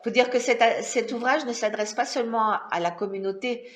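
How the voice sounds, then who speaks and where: A woman's voice: fairly high pitch, fairly quick. A woman author presenting her book at a talk, partly reading aloud and adding spoken asides. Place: indoor talk venue. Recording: echoey room.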